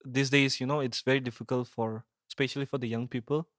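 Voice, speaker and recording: A man's voice: medium pitch, flat delivery, fast, a bit bright, studio quality. A man hosting a casual conversational interview, attentive and echoing the points his guest makes. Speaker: podcast host.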